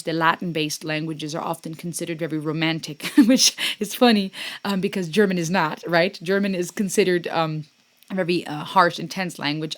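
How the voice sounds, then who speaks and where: A middle-aged woman hosting a music reaction, talking casually and warmly, often pausing with ums to search for words. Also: on the low side. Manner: warm, gushing, searching for words with many ums.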